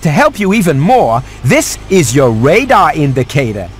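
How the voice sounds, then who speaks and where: An actor playing a sarcastic, fussy house cat who wisecracks his way through an animated video game. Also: on the high side, normal speed, breathy edge. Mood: wry, instructing. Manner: wisecracking, sardonic.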